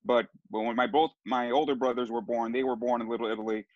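A man's voice: mid-range voice, fairly even intonation, fast talker, no echo, muffled recording. A middle-aged man from Southern California, a sports broadcaster telling his family's story in a casual interview, rambling with frequent restarts. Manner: rambling, with many restarts and fillers.

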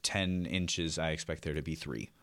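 A man's voice: extremely low pitch, steady tone, normal speed, a bit bright, dry recording. A young man with a Midwestern American accent and a distinctive radio-ready voice, chatting casually as a guest. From Iowa, United States. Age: young adult. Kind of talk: casual chat. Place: radio studio. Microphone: close studio microphone.

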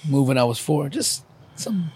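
A man's voice: on the high side, very animated, normal speed, a little mellow, husky, no echo. A man from Ukraine hosting a casual, candid interview chat. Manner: candid, reflective, swears casually. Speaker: podcast host.

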